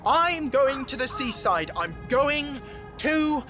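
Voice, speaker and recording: An actor as a timid, plucky young animal hero, swooping pitch. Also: sing-song, slow pace, music in the background, heavily acted. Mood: nervous self-reassurance. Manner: fretful, old-fashioned British children's-book diction, sighing. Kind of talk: storybook audio drama acting.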